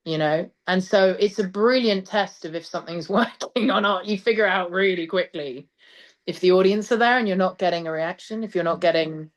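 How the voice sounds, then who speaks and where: A woman's voice: fairly low pitch, a little fast. A woman talking enthusiastically and emphatically about her craft as a theatre director being interviewed.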